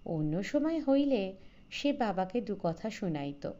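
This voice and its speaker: Lady reading an audio story, slow and expressive, kinda mellow voice, bit of a dull recording. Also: mid-range voice. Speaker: audiobook narrator. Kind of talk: scripted literary story narration.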